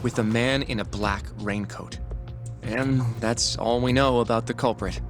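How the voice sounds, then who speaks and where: A man playing an earnest office assistant in a game drama, with a mid-pitched voice. He lays things out precisely and matter-of-factly over faint music. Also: fairly even intonation, measured pace, close to an everyday voice. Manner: matter-of-fact, orderly. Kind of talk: naturalistic video game cutscene acting. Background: faint background music.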